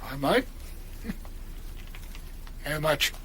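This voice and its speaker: An actor playing a sly, cagey old gravedigger in a radio drama. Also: male voice, a little high, expressive, drawn-out words, pushed and bright, slight background noise, full character voice. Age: older. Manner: cagey, drawling village speech.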